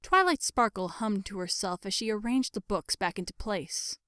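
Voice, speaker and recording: A woman reading scripted dark fiction as a narrator, measured and dramatic. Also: mid-range voice, animated, smooth, no room sound, studio quality.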